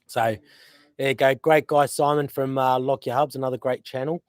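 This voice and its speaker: An Australian man hosting a casual live stream outdoors, rambling with lots of fillers and gentle teasing. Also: medium pitch, fairly even intonation, moderate pace, dry recording, studio quality. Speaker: host. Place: outdoors by a river.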